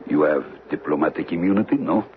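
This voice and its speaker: An actor playing a cold, ruthless major, a foreign villain in a radio drama who speaks with formal, menacingly polite precision. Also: male voice, a bass voice, fast. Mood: coolly bargaining. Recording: muffled, noisy old radio recording.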